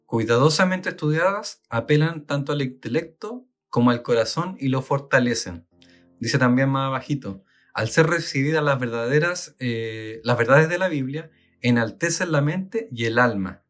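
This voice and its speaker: A man's voice: mid-range voice, expressive, normal speed, a bit bright, faint music behind. Man, Chilean accent, co-host, thoughtful, fillers, reads passages aloud. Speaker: podcast co-host. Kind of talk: casual talk and reading aloud.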